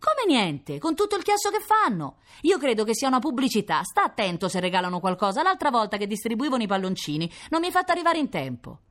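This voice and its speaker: A whiny, bossy little girl, played by a woman reader in a children's story. Her voice is pitched up and very lively, squealing and pestering like a younger sister. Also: quick pace, few pauses. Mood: indignant, nosy.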